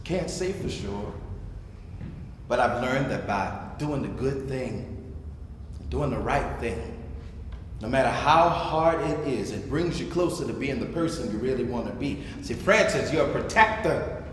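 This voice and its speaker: Man as motherly, folksy hostess, animated, echoey hall. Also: medium pitch, a little slow, close to an everyday voice. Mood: tender, counseling. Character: wise, motherly Miss Verna, basement-party hostess. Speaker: actor in a staged reading. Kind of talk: staged play reading. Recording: very echoey hall, noisy live stream.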